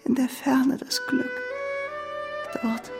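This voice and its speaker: An actor playing a frail, dying male idealist in a radio play, weak and breathy, with pleading visionary fervour. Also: extremely high pitch, sing-song, takes it slowly, loud music behind the voice, heavily acted. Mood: feverish, visionary. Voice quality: breathy, whispery, cracked. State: weak, gravely ill.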